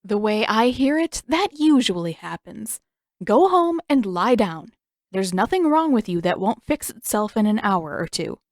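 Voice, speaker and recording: A woman's voice: medium pitch, big pitch swings, unhurried, no echo. A woman acts out a no-nonsense healer in an audio story. The figure is firm and dismissive, used to being in charge, and sounds close and dry. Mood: brisk, dismissive.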